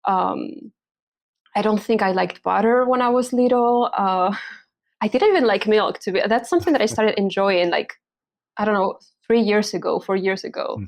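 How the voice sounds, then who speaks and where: A young woman from Spain speaks as a guest in a relaxed interview, her voice at a middle pitch with a gravelly, creaky texture. She hesitates often, filling gaps with ums and likes and restarting her sentences. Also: moderate pace. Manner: hesitant, many fillers and restarts, laughs often. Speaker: hormonal and pregnancy coach, podcast guest. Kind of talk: casual interview chat.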